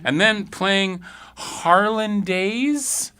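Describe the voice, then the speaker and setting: Bright-voiced male radio host, casual. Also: high voice, extremely slow, smooth, no echo. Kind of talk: casual live studio chat. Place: radio studio.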